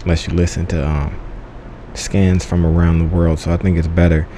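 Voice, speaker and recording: A man's voice: low-pitched, level tone, moderate pace, warm tone. A man giving a casual, unscripted app review in a slangy, joking, offhand way. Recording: clearly noisy background.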